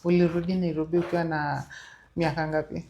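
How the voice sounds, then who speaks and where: A woman's voice: low-pitched, level tone, fast, muffled recording. A woman hosting an interview, asking questions and murmuring along as her guest talks. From Kenya. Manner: frequent soft backchannel murmurs. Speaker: host.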